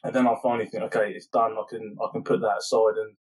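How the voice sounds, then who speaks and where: A man's voice: on the low side, little pitch movement, fast talker. A British man, a writer being interviewed, explaining himself casually in a loose, rambling, self-correcting way.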